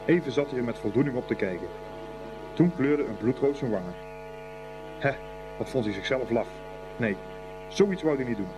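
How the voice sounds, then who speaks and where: A man's voice: medium pitch, animated, normal speed, long pauses, noisy background, lightly acted. A man narrating a scripted boys' school story for a radio play. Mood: storytelling.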